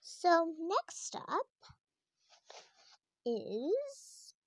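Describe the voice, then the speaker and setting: A young girl with a high voice chats her way through a tour of her game house, rambling and restarting often. She films the tablet screen from close up in a dry, close-sounding room. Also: very animated, extremely slow, no echo. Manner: chatty, rambling, many fillers and restarts. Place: indoors, filming a tablet screen.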